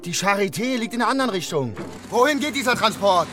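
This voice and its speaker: A man's voice: sits high, sing-song, fast, soft background music, full character voice. An actor playing an authoritative, embittered male police commissioner in a German radio drama. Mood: outraged. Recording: radio drama with loud ambient vehicle noise.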